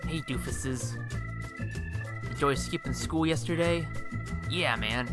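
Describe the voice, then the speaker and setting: A man voicing melodramatic, excitable teenage slacker boys in an amateur animated comedy. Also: mid-range voice, slow, music in the background, close to an everyday voice. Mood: casual. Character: excitable fourteen-year-old cartoon slacker boys and their friend.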